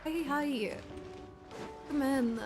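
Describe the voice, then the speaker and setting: A woman's voice: high-pitched, very slow, music in the background. A woman streaming a game with casual, rambling commentary, thinking aloud and correcting herself as she goes. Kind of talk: casual live gameplay commentary.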